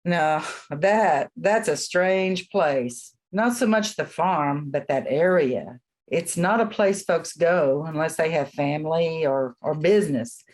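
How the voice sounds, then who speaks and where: A woman's voice: sits low, a little mellow. A woman playing an elderly small-town local in a radio play, telling old lore in a folksy, matter-of-fact way. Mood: folksy, mysterious. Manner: folksy, unhurried storytelling.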